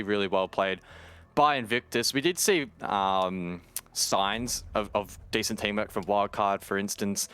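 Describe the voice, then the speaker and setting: A man giving analytical colour commentary on a live esports match in a measured, wordy way. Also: on the low side, fairly bright tone, clear, resonant tone.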